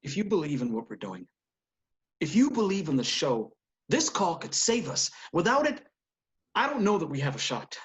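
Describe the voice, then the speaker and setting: Man playing an earnest TV producer, lively intonation. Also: on the high side, moderate pace. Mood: pleading, persuasive. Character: earnest, pleading male television producer. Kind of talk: screenplay table read.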